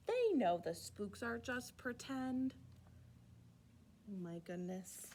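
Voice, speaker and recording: High, husky woman reading to children. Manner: expressive, playful, with gasps and asides to young listeners. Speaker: children's library storytime host. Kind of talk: animated read-aloud of a rhyming picture book.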